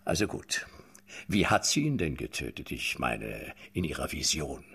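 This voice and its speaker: Sardonic husky detective. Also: male voice, a bass voice, very animated, normal speed, fairly bright tone, exaggerated voice. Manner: sarcastic, blunt, pressing questions. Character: tough, sardonic male police detective. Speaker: detective interrogating suspects. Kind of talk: radio drama acting.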